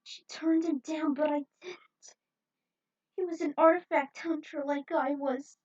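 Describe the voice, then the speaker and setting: Despairing young female treasure hunter voiced by a woman reader, very high, wailing, guilt-ridden, storybook drama. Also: fairly even intonation, a little slow, recorded from a distance. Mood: distraught. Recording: dull, muffled lo-fi audio.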